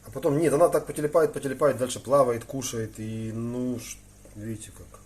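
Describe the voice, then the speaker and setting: Male hobby vlogger, medium pitch, informal and slangy, meandering delivery with habitual throat-clearing, faintly noisy home recording. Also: steady tone, brisk, a little mellow. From Ukraine. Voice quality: frequent throat-clearing between phrases. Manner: colloquial, slangy, thinking aloud. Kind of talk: casual rambling vlog chat. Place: indoors in a room with aquariums. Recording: noticeable background noise.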